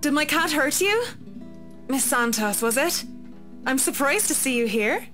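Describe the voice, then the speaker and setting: Woman acting courteous, concerned lady with higher voice. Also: animated, a little slow, over music. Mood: apologetic, concerned. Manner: polite, apologetic, formal. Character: tall, concerned, courteous woman, owner of a cat. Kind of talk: visual novel character voice.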